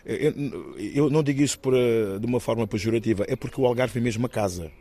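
A man in his fifties from Guinea-Bissau, talking about his life in an interview, thoughtful and halting, with many restarts. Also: mid-range voice, warm tone. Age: 50s. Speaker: interviewee, actor and musician. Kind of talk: reflective personal interview.

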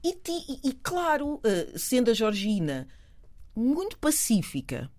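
A middle-aged woman with a European Portuguese accent, chatting as a guest, animated, laughing often and repeating words while she searches for them. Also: a little high, measured pace. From Portugal and Cape Verde. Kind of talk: casual conversation.

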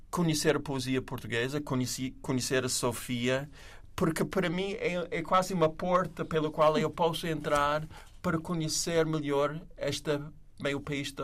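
A man who speaks with a non-native accent, reading verse slowly and measuredly, then talking casually. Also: a little high, steady tone. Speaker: writer and panel guest.

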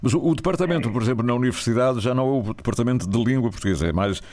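A man's voice: medium pitch, very fast, darkish tone. A man interviewing a guest in an informal, conversational way, often prompting with tag questions.

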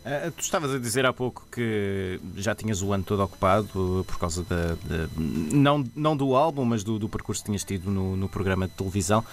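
A man's voice: on the low side, expressive, brisk, hardly any pauses, a little hiss or hum. A man, hosting a live radio interview and asking casual, joking questions. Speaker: radio host.

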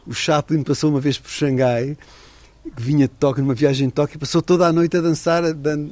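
A man's voice: on the high side, fairly even intonation, fairly quick, a little mellow, no echo. A middle-aged man, an author and former correspondent, talking in a radio interview in a thoughtful, digressive way with many restarts.

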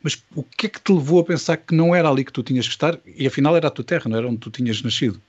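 Male interviewer, conversational and wordy, very rapid delivery with a rough, husky edge, slightly dull recording. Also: on the high side, darkish tone. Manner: probing, wordy, repeats words.

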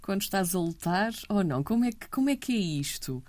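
A woman interviewer who asks gentle, hesitant questions full of fillers. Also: mid-range voice, sing-song, a little fast, no room sound. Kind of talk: casual interview.

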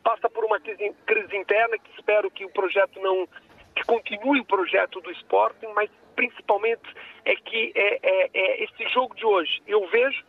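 Over a narrow, tinny phone line, a male caller speaks in a high-pitched voice with a Brazilian-tinged Portuguese accent. He gives his football opinions emphatically and often repeats his points. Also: fairly even intonation, moderate pace. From Portugal, lived many years in Brazil. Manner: emphatic, repetitive.

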